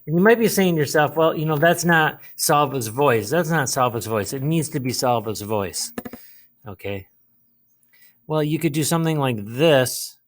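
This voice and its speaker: A man teaching a lesson, explaining a writing task step by step, with pauses and repeated phrases. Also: a little high. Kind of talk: instructional lesson, modelling a writing task aloud.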